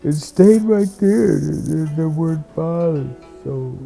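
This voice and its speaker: A person telling a reflective bedtime story in a slow, halting, musing way, often repeating words. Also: medium pitch, animated, vocal fry, mellow, soft background music.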